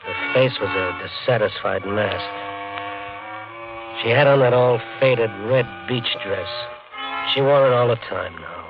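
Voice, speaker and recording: A man's voice: on the low side, a little slow. An actor with an American accent playing a scheming hustler who tells his own story in a 1950s radio drama. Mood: brooding narration. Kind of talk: 1950s radio drama acting with first-person narration. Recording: muffled 1950 radio broadcast, faint music.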